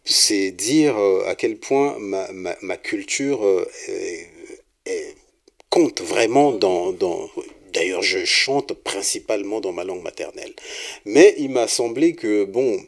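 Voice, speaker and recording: A middle-aged man from Congo, a singer-songwriter being interviewed, speaking in a relaxed, hesitant way with many fillers and restarts. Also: fairly low pitch, slow, creaky.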